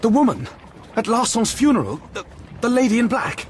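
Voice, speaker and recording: A brash, cheeky reporter in period radio drama. His delivery swings animatedly as he teases and bluntly demands, with clear background noise around him. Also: very high voice, big pitch swings, a little fast. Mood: brooding. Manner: teasing, theatrical, blunt. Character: brash, cheeky young male French newspaper journalist, teasing and hungry.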